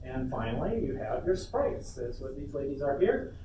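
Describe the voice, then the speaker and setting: A man's voice: medium pitch, big pitch swings, normal speed. A man giving an informal live workshop talk as a panel presenter, speaking off the cuff with asides. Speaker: panel presenter, lead game developer. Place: convention panel room, indoors. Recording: echoey room, distant mic, loud background noise.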